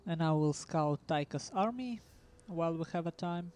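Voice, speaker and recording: A man's voice: a little high, lively intonation, a little mellow, clean tone. Russian-accented man casting a game match. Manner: halting, many ums and uhs, chuckles. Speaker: esports commentator. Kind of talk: casual game commentary.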